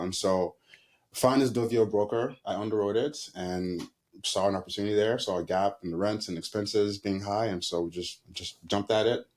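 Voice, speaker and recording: Relaxed lower-voiced man in his thirties. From Haiti. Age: 30s. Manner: relaxed, storytelling, laughs easily. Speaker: guest. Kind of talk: casual interview answers.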